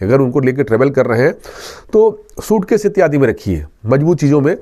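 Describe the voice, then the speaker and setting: Male police inspector, soft dark timbre, earnest and instructive interview delivery. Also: mid-range voice, very animated, a little fast. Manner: earnest, instructive, repeats key points. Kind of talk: explanatory interview answers with safety advice.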